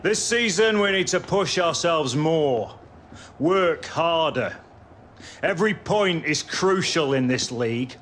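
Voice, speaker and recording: An actor playing a blunt, driven football coach in a video game cutscene. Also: male voice, a little high, lively intonation, takes it slowly, fairly bright tone, a little hiss or hum. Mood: rallying. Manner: rallying, blunt.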